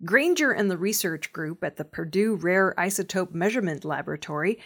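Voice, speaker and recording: A woman's voice: lowish voice, expressive, a little fast, a bit bright. A woman reading scripted news narration as the presenter of an audio news programme. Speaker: news reader.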